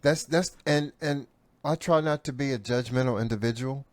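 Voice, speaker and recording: A man chatting casually as a podcast co-host, a bit halting as he thinks out loud. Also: mid-range voice, dry recording. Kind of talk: casual chat.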